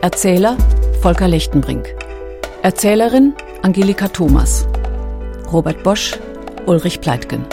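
A woman's voice: on the low side, lively intonation, a little slow, pauses often. A woman announcer reading scripted audiobook credits. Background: music.

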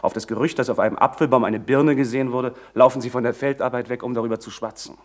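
An actor in a German radio play, playing a haughty, pious young aristocrat with a husky voice and a formal, lecturing manner. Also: male voice, mid-range voice, fairly even intonation, quick pace, natural voice. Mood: condescending, indignant. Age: young adult.

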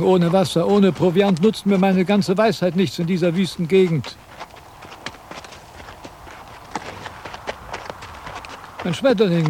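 An older actor playing a vain, grumbling old wandering philosopher in a radio drama, declaiming theatrically and questioning himself. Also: male voice, on the high side, mellow, close to an everyday voice. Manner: declamatory, self-questioning, cantankerous. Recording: noisy 1970s radio drama with sound effects.

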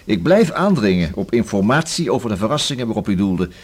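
An actor in a Dutch radio play playing a persistent, dryly ironic police inspector, with a husky voice and formal, measured manner. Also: male voice, on the low side, very animated. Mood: dryly ironic, insistent.

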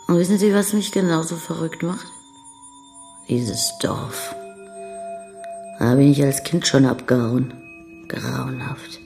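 An actress playing a brash, world-weary young bride-to-be who is coquettish, blunt and provocative, in a radio crime drama. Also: female voice, rumbling low, mellow, heavily acted. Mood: bitter, disgusted. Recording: studio radio drama with music underneath.

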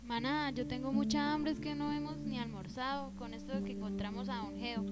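A woman plays a breezy, blunt young friend. Her high voice has a Colombian accent and sits over clear music in a radio drama. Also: steady tone, brisk, close to an everyday voice.

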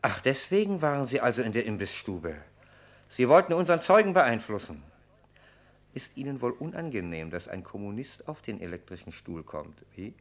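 An actor playing a sarcastic, self-assured federal official in a German radio crime drama, officious and threatening. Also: male voice, mid-range voice, big pitch swings, moderate pace. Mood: sneering. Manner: pointed, sneering, officious.

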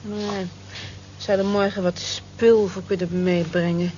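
A woman's voice: mid-range voice, flat delivery, takes it slowly, warm tone, natural voice. An actress in an old radio play voicing a smitten, conscientious cleaning woman who talks earnestly and admiringly. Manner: earnest, admiring, defensive when teased. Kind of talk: vintage radio play acting. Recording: dull, hissy old radio play recording.

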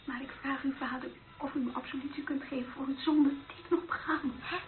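A woman's voice: sits high, steady tone, brisk, close to an everyday voice. A woman in a radio play acting an anguished, resolute young nurse who confesses a mortal sin. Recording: tinny old radio play recording.

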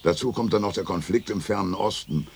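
Grumpy old ex-statesman, low gravelly voice, scornful, sardonic, radio play. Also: brisk, darkish tone. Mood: irritated, gruff. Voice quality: creaky, gravelly. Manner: gruff, sardonic. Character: grumpy retired Finnish male statesman, sixty-five, scornful. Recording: faint outdoor background noise.

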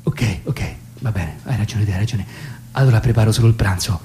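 A man's voice: on the low side, brisk, mellow, lightly acted. An eager, clumsy young dad in a radio play, acted by a man. He speaks as if on a phone call, hesitantly repeating himself and anxious to please. A faint hum sits behind the voice. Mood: sheepish, eager. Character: eager, awkward, inexperienced new father on the phone. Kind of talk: theatrical radio monologue, staged phone dialogue. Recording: faint hum and background noise.

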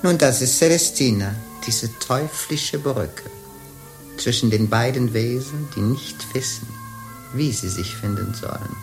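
A woman's voice: a bass voice, a little slow, over music. An actress playing a sly, teasing, hundred-year-old witch and bawd in a radio play, speaking in a mocking, grandiloquent way. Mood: solemn, triumphant.

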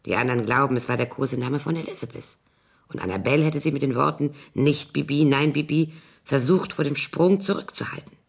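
Casting: a scheming, boozy old nanny, gravelly and raspy, theatrical and brooding, for a radio thriller. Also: female voice, a bass voice, full character voice. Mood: brooding, conspiratorial. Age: older. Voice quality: husky, creaky. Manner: brooding, halting, theatrical delivery dropping into whispers. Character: tipsy, scheming old former nanny writing a confession. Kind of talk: radio crime drama acting.

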